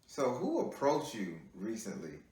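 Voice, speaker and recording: A man with a lowish voice gives a chatty tarot reading. He teases the caller and repeats himself as he lays out each card. The sound carries heavy room echo. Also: wildly swooping pitch, measured pace, darkish tone. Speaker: tarot reader. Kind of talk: live tarot reading call.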